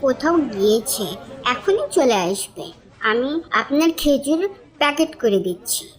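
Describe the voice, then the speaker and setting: A woman plays a sweet little girl in a cartoon. The voice is high and childlike. She sounds devout, generous and earnest, with very polite manners. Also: lively intonation, a little slow, rough recording. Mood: helpful. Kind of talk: cartoon dub acting.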